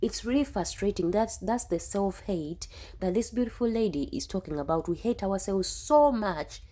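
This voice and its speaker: A woman's voice: medium pitch, animated, normal speed, dark tone. A young woman hosting a reaction video, talking earnestly and emphatically and often repeating herself. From Uganda. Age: young adult. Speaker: YouTube reaction host. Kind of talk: casual reaction commentary.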